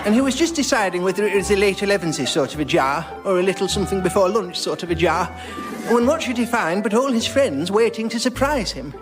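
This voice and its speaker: Storybook narrator over music. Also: male voice, sits high, animated, brisk. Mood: gentle, whimsical. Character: gentle children's storybook narrator. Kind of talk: scripted storybook narration for radio comedy. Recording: loud music under narration.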